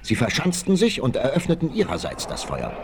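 A man's voice: medium pitch, wildly swooping pitch, fairly quick. A man narrating a 1960s radio adventure as scripted, dramatic storytelling. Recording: 1968 vinyl radio play, noisy.

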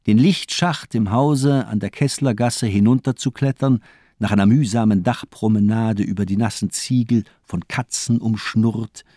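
An actor as a sinister, silkily polite doctor. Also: male voice, mid-range voice, warm tone, no echo, clean studio sound, natural voice. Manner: mockingly polite, eloquent, controlled. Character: sinister, cultivated, sadistic male doctor toying with his captive. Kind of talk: German radio crime drama acting.

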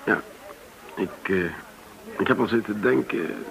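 A middle-aged businessman in a radio drama. His voice sits low, with a gravelly creak, and has a bright edge. He speaks politely and with understatement, sometimes hesitating. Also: expressive, unhurried, exaggerated voice, poor audio. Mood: confiding, tentative. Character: Dirk Norman, courteous male businessman living off share sale. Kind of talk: naturalistic radio drama acting.

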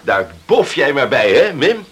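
A man's voice: mid-range voice, sing-song, a little slow, fairly bright tone, breathy edge, full character voice. A male actor playing an easygoing younger brother on a farm in a radio play with some background noise. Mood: friendly. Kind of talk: radio drama dialogue. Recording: noisy old radio play recording.

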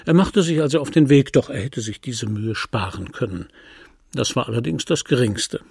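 A man reading as a traveling storyteller who calmly recounts grotesque adventures in a literary radio feature, with a gravelly, husky voice. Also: mid-range voice, moderate pace. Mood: wry. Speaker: literary reader.

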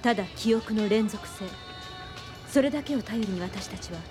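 An actress playing a composed female doctor in a radio drama, calm and articulate, probing and gently reassuring. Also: medium pitch, moderate pace, music in the background, natural voice. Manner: calm, articulate, philosophical explaining.

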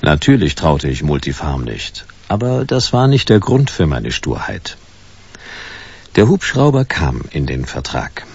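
A man's voice: very deep voice, a little mellow, slightly rough, highs cut off, full character voice. An actor playing a stubborn, hard-boiled private detective narrating his case laconically in a noir radio play. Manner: laconic, dry, clipped sentences.

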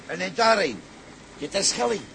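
A discontented crewman in a seafaring radio drama. His voice is high and gravelly, challenging, with engine noise behind. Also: lively intonation, normal speed. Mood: restless. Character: discontented, questioning male crewman.

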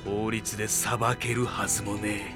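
An actor playing a tough, defiant teenage delinquent hero, gruff and righteous, in a Japanese anime audio drama. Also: male voice, fairly low pitch, little pitch movement, normal speed, natural voice. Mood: grim, righteous. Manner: gruff, rough-spoken, coolly righteous. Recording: dramatic music behind the voice.

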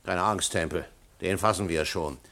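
An older man playing a genial, authoritative police chief and gentleman lawyer in vintage German radio drama style. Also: low-pitched, normal speed, creaky, heavily acted. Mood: reassuring. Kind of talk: 1950s and 60s German radio drama acting.

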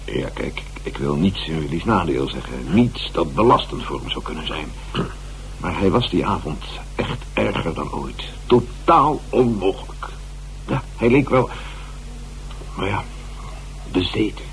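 An actor playing a distraught, self-important theatre director in a radio play, speaking hesitantly and theatrically. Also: male voice, deep voice, expressive, unhurried, rough recording. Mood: confiding, insinuating. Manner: hesitant, repeating words, theatrical. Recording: hum and hiss of old radio recording.

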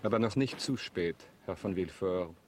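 An actor in a German radio drama playing a suave, insinuating count who is politely and deliberately accusing. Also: male voice, sits low, a little hiss or hum, natural voice. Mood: smoothly polite. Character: suave, insinuating male count hosting guests, coolly accusing.

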